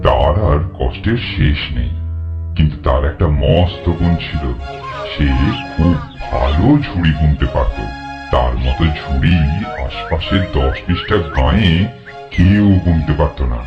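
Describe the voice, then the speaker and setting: A man's voice: a bass voice, measured pace, dull audio. A man narrating a fairy tale from a script as the storyteller. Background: plucked guitar music.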